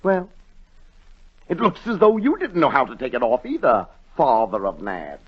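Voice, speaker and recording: A man's voice: medium pitch, huge pitch swings, moderate pace, breathy edge. An actor playing an imperious, easily outraged king in 1940s radio drama, exclaiming and repeating himself. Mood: exasperated. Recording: dull vintage 1940 radio recording.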